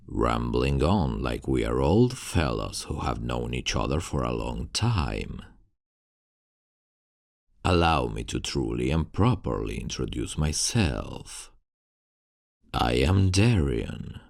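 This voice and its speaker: A man's voice: extremely low pitch, creaky, no echo, clean studio sound, exaggerated voice. A man playing a courteous, brooding vampire baron in an ASMR roleplay, speaking in a formal, old-fashioned, soothing manner. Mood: reassuring, courtly. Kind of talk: ASMR roleplay, scripted monologue to the listener.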